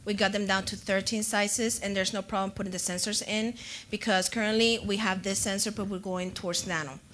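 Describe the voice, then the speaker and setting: A woman's voice: medium pitch, fairly even intonation, fairly quick, a bit bright, slight background noise. A woman co-presenting a product, answering questions in a conference room with many fillers. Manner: explanatory, with fillers.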